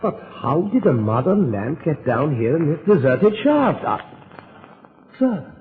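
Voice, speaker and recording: Fussy, formal detective's assistant, highish lively voice, deferential yet skeptical, vintage tinny radio drama. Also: male voice, a little slow, slight background noise. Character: proper, fussy, loyal manservant sidekick to a detective. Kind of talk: 1940s radio acting. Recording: tinny, narrowband old radio recording.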